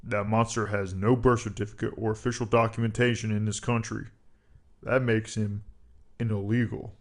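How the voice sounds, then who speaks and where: A man's voice: fairly low pitch, flat delivery, normal speed, natural voice. Man as a grave, hawkish government adviser. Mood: eager, zealous. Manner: grave, insistent, formal. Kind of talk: comedic audio drama acting.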